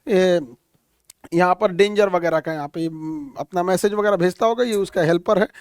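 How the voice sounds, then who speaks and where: High-voiced man giving a lecture. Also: moderate pace, clean tone, dry recording, clean studio sound. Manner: explanatory, points at a map, frequent fillers. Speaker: current affairs teacher. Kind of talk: explainer lecture.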